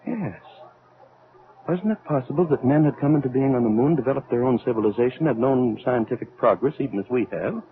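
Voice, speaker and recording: An actor in an old-time radio drama playing a troubled elderly scientist, speaking in a halting, brooding, theatrical way. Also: male voice, mid-range voice, lively intonation, phone-quality sound, close to an everyday voice. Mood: brooding, intense. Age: older. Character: grave, troubled elderly scientist aboard a spaceship. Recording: band-limited vintage radio recording.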